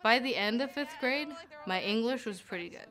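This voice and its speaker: A young woman, a graduating high school student whose first language is Chinese, speaking earnestly. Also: lively intonation, moderate pace, pushed and bright. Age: young adult. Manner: earnest, personal.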